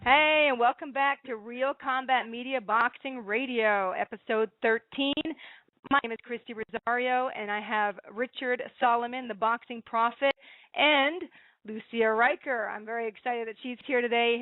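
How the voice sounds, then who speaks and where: Bright-voiced woman radio host, lo-fi. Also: a little high, no room sound. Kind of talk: radio interview. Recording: dry, phone-like lo-fi sound.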